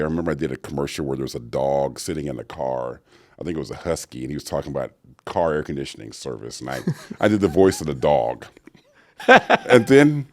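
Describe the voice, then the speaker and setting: A middle-aged man, an actor and voice actor, telling stories in an interview with a deep, resonant voice and acted-out quotes. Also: no room sound, clean studio sound. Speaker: actor and voice actor guest. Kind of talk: storytelling interview answers.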